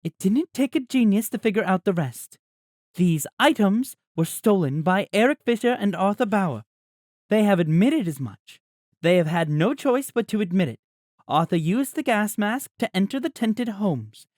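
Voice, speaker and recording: A man voicing a formal, composed mother in an audiobook, speaking in an even, official manner. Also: medium pitch, normal speed, dry recording, clean studio sound, voice pushed up, close to an everyday voice. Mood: formal, firm. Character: formal, composed mother addressing wronged neighbors. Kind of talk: audiobook character voice.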